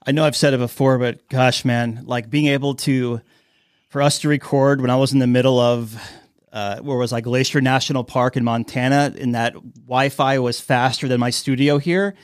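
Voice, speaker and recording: A man's voice: medium pitch, steady tone, moderate pace, smooth, no echo, clean studio sound. A man hosting a news podcast in a casual, plain-spoken way. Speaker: podcast host.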